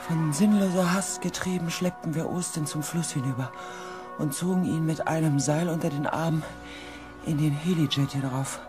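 A man's voice: fairly high pitch, moderate pace, music in the background, lightly acted. Man playing an anxious expedition researcher, narrating. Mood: grave. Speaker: narrator and character. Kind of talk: dramatic first-person radio drama narration. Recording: faint background ambience.

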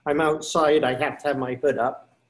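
A man's voice: fairly high pitch, little pitch movement, moderate pace. An older man chatting casually between takes over a video call, apologetic and self-deprecating. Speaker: amateur actor.

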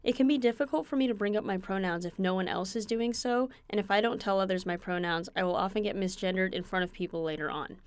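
A speaker calmly and earnestly describing their own experience. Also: mid-range voice, fast, hardly any pauses, clean tone, dry recording. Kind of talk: scripted testimony.

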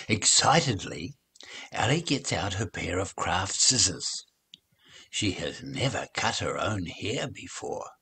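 An older man reading a children's story aloud, with expressive, deliberate phrasing. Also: low voice, slightly rough. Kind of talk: children's read-along story narration.

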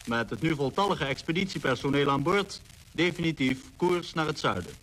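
A man's voice: a little high, a bit bright, slight background noise, rough recording. A man announcing and narrating a scripted 1940s radio programme, captured on an old disc recording.

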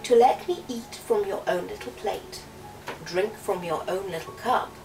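A woman voicing a small male talking frog in a storybook, croaky, eager and pleading. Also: medium pitch, unhurried, reverberant. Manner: pleading, insistent. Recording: distant mic, music behind the voice.

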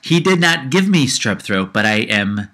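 A man's voice: mid-range voice, big pitch swings, unhurried, pushed and bright. A man hosting his own podcast, ranting with rising heat and a slight cold in his voice, often repeating himself. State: low-grade cold. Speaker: podcast host. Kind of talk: casual solo podcast rant.

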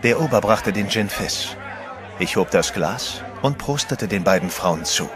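A man's voice: fairly low pitch, animated, unhurried, a crowd in the background, noisy background. An actor playing a composed adventurer who narrates his own story in a horror radio play. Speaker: first-person narrator. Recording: music bed under the voice.

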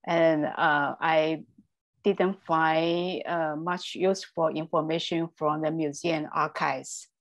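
A woman's voice: sits low, fairly even intonation, slow. A woman panelist speaking over a video call, hesitant and searching for words.

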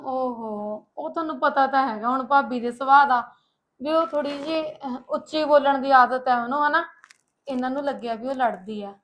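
A woman's voice: sits high, normal speed, fairly bright tone. A woman playing a meek married younger sister, pleading and conciliatory, in a family drama. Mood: anxious, covering up. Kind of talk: Punjabi domestic drama dialogue.

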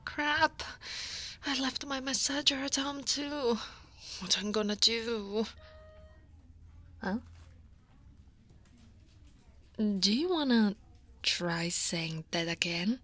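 A woman voicing a weary, easily flustered dragon office senior in an ASMR roleplay, speaking softly and breathily with a hesitant manner, often half-whispering. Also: fairly high pitch, takes it slowly, pauses often, no room sound. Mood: annoyed, sore. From Italy.

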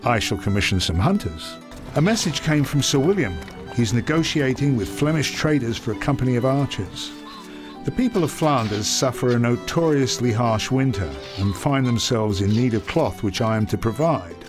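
An actor playing an earnest young lord speaking formally in a game cutscene. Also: male voice, mid-range voice, lively intonation, moderate pace, music in the background, lightly acted. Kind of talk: video game cutscene acting.

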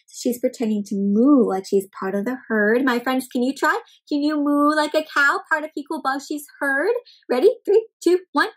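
A woman's voice: high voice, normal speed. A young woman hosting an interactive children's read-aloud, speaking expressively and putting questions to her young listeners. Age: young adult. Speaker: children's story time host and reader.

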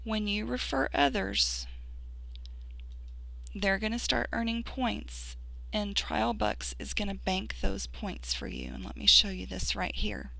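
Gravelly-voiced woman, hoarse, encouraging. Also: medium pitch, fairly even intonation, unhurried, fairly bright tone, no room sound, low-quality recording. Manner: earnest, encouraging, with fillers and restarts. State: losing her voice. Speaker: sponsor giving a screen-recorded tutorial. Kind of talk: casual tutorial voice-over.

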